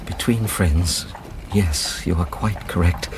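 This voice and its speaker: A man acting in a radio drama as a nervous, talkative gentleman, telling his tale in a formal, fussy, precise way. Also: deep voice, slow pace, background noise. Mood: fussy, apologetic.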